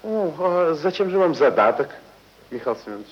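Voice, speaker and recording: Radio drama: evasive, courteous, shrewd gentleman, theatrical and expressive, dull archival sound. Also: a little high, brisk. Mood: wary, courteous. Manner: courteous, fussy, hesitant yet insistent. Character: polite, evasive, ingratiating gentleman buyer, cautiously shrewd. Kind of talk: theatrical classic stage acting in a radio play.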